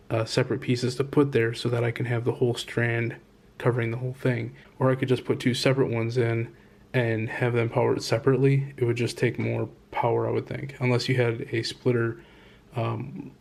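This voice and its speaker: Flat-toned man rambling through a home vlog. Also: mid-range voice, vocal fry. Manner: rambling, pausing often to find words. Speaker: vlogger. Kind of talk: casual show-and-tell vlog. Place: indoors at home in a condo.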